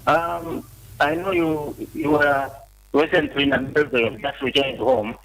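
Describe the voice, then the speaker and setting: A man's voice: fairly high pitch, steady tone, unhurried, fairly bright tone, low-quality recording. Polite older man calling in. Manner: polite, formal, hesitant. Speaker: caller. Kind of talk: phone-in question.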